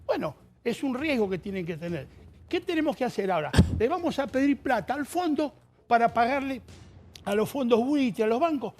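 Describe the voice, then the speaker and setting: A man, a panelist on a television debate who speaks haltingly and repeats words. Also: extremely high pitch, animated, darkish tone.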